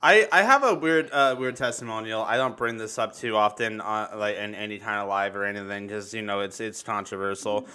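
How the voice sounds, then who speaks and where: A man chatting casually and telling personal stories, halting and repeating words, swearing freely. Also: fairly low pitch, pushed and bright, smooth. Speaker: livestream talk show host. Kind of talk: casual chat, personal storytelling.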